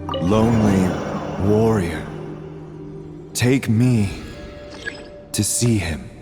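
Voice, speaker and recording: A man playing a mysterious, solemn figure in a fantasy video game, speaking in a halting way. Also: on the low side. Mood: solemn, pleading. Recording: game music behind the voice.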